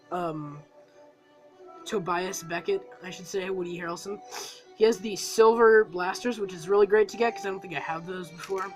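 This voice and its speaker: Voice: mid-range voice, a bit bright, rough recording. A reviewer with a cold talking casually through a toy set review, rambling and full of fillers. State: has a cold.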